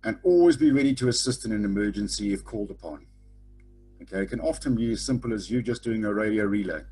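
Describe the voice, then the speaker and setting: A man's voice: on the low side, a little mellow, breathy edge. A middle-aged man teaching a sailing lecture over a webcam, blunt and informal, tagging his points with "okay?". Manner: frequent "okay?" tag questions. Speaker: sailing instructor. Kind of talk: online lecture. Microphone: webcam over video call.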